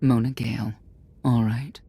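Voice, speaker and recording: An actress playing a hardened, menacing underworld guide with a very raspy voice and a clipped, dry delivery in a video game. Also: female voice, extremely low pitch, steady tone, unhurried, warm tone, exaggerated voice. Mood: curt. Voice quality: very husky, raspy.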